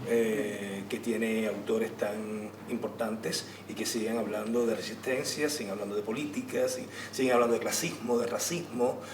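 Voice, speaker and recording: A man on a theater panel answering off the cuff, emphatic and halting, repeating phrases as he builds his point. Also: on the low side, steady tone. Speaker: theater actor and director on a panel. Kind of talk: spontaneous answer at a public talk. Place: indoor theater venue during a public discussion. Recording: echoey room, distant mic, clear noise.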